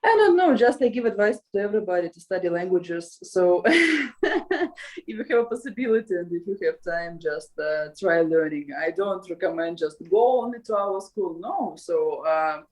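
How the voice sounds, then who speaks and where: A woman's voice: fairly low pitch, animated, normal speed. A woman with a Russian accent, a language school co-founder answering interview questions in a hesitant way, with frequent fillers and light laughs. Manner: hesitant, many fillers and restarts, laughs often.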